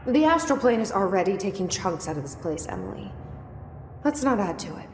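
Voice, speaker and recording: An actress playing a young agency director in a video game, terse and probing, with an understated, dry delivery. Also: female voice, mid-range voice, very animated, a little fast, close to an everyday voice. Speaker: game protagonist. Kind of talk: video game dialogue acting. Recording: echoey hall reverb with noisy game ambience.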